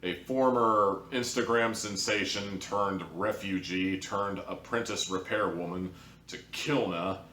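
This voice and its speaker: A man reviews in a casual, conversational ramble, hedging as he goes. His voice sits low. The recording is echoey, with him some way back from the microphone. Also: fairly bright tone, slight background noise. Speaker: audio drama reviewer. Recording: roomy echo, distant from the mic.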